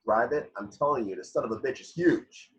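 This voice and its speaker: Man reading as blunt, husky spaceship engineer. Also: medium pitch, lively intonation, fairly quick, poor audio. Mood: agitated. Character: blunt, hot-tempered, foul-mouthed male spaceship engineer. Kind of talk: amateur table read of a film script.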